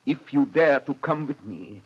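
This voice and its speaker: An actor playing a grave, commanding older foreign doctor who hunts vampires, deliberate and rhetorical in 1930s radio drama style. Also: male voice, mid-range voice, lively intonation, heavy background noise, close to an everyday voice. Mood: grave, insistent. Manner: deliberate, rhetorical questioning, stilted foreign phrasing. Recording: muffled vintage 1930s radio recording.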